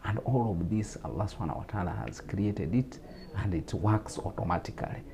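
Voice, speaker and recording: Deep-voiced Ugandan man explaining. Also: lively intonation, creaky, a crowd in the background. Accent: Ugandan English. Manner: measured, explanatory, with repetitions and fillers. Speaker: guest speaker on religious talk show. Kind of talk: explanatory talk show discussion.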